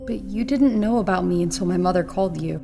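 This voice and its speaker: A woman playing a meek but probing captive in her twenties in an audio drama, soft-spoken and careful, with a creaky voice. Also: fairly low pitch, fast talker, music in the background. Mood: calm, probing. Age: 20s. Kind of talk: audio fiction acting.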